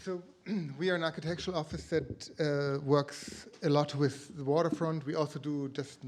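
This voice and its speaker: Man, fairly high voice. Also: steady tone, moderate pace, darkish tone, slight background noise. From Denmark. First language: Danish. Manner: searching for words, self-correcting. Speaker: architect presenting. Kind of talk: conference presentation.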